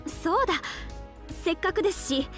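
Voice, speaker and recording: A woman playing a devoted young half-oni samurai archer in a mobile game, speaking formal, reverent Japanese in a soft voice. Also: very high voice, animated, normal speed. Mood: cheerful, eager. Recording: studio voice over game music.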